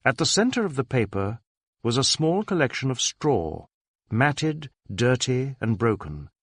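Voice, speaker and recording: Expressive male storyteller, very dry sound. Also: mid-range voice, a little slow, no echo. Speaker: audiobook narrator. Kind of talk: scripted narration of a detective novel.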